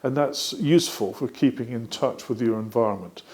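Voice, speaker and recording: A British man presenting a product demonstration step by step, explaining methodically and often pausing mid-sentence. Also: mid-range voice, a little mellow.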